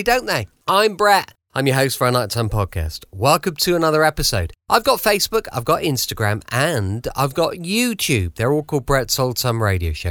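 A man's voice: fairly high pitch, a bit bright, dry recording, clean studio sound. An English man hosting a podcast from home, chatting in a chirpy, informal way. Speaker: podcast host. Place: at home, dry close recording.

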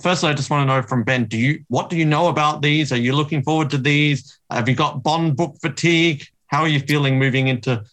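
Higher-pitched man hosting a casual podcast. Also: fairly quick, few pauses, fairly bright tone. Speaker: podcast host. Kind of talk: casual chat about books.

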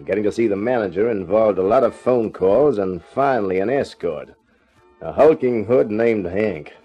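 An actor playing a wry, tough private eye who also narrates, in clipped 1950s radio detective style. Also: male voice, lowish voice, normal speed, breathy edge, muffled recording, faint music behind.

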